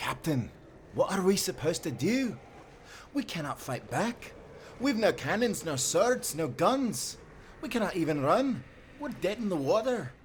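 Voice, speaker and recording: A man's voice: sits high, big pitch swings, measured pace, a little hiss or hum. A man playing an earnest, protective sailor companion in an audio drama, with an urgent manner. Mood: alarmed. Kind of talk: cinematic audio roleplay acting.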